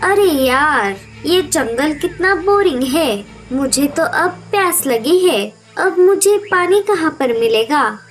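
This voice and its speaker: A woman's voice: high voice, animated, slow pace, few pauses, faint music behind. A woman voicing a spoiled, headstrong young bird princess in a children's cartoon, whiny and petulant. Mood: bored, whiny. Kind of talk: Hindi children's cartoon dialogue.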